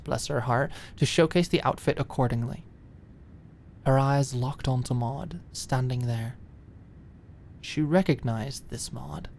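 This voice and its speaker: Low-voiced woman narrating an audiobook. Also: darkish tone, slight background noise. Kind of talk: scripted dramatic story narration.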